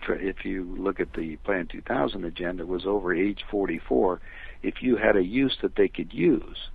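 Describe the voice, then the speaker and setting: An older American man giving his opinions as a phone interview guest, talking in a rambling, emphatic way with a gravelly, husky voice over a telephone line. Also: deep voice, dry recording. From United States. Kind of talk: conversational opinion talk.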